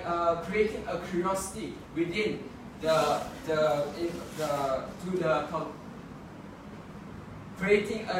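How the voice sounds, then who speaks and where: A young man argues his case in a formal debate, restarting phrases as he goes. His voice sits high, and it carries through a very echoey room with clear background noise. The mic picks him up from a distance. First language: not English. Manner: earnest, argumentative, frequent self-corrections. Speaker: student debater. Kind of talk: competitive debate speech.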